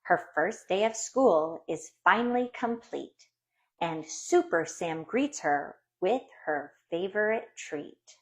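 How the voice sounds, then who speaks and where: A woman reads a rhyming picture book aloud for young children. Her voice sits slightly low, and she takes her time, phrasing each line deliberately and expressively. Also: animated, rough recording. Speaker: storybook reader.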